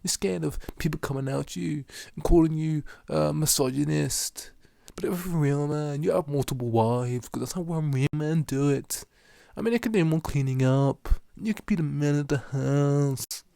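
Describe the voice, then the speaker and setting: A man's voice: a little high, normal speed, vocal fry, warm tone, no room sound, clean studio sound, voice pushed up. A man voicing a pushy male motivational ranter who lectures the viewer in a raised, preachy tone. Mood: ranting.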